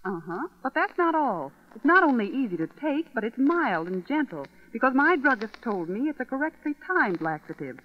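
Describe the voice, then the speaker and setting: An actress playing a cheerful, reassuring housewife chatting with a friend, in the style of a vintage radio commercial. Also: female voice, a little high, big pitch swings, normal speed, few pauses. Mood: chatty, reassuring. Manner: bubbly, emphatic, reassuring. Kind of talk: 1940s radio commercial acting. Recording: dull vintage radio recording.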